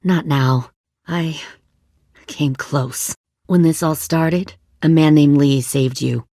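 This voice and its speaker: A woman acting a hardened, menacing leader of raiders in a zombie video game, speaking in a cold, coercive, deliberate way. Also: deep voice, fairly even intonation, mellow, dry recording, clean studio sound. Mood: guarded, reflective.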